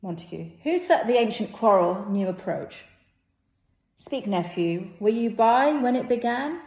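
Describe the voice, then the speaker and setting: In an echoey room, a woman reads a stage play aloud as an amateur. Her delivery is unhurried and a little halting, as she takes on every part herself. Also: medium pitch, expressive, darkish tone. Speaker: amateur reader playing all the parts. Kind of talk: impromptu dramatic read-aloud of a stage play.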